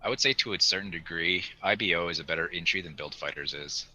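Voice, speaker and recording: Very bright, sharp low-pitched man. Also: quick pace, poor audio. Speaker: podcast panelist. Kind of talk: casual hobby chat.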